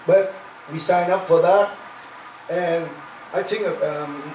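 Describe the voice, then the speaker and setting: An older man with a Vietnamese accent speaks slowly and haltingly to a dinner crowd, his voice pitched fairly high. He is picked up from a distance in an echoey hall, with faint noise behind him. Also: steady tone, a little mellow. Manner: halting, many fillers and restarts, self-deprecating humor. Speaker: guest speaker. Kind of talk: after-dinner speech. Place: large hall.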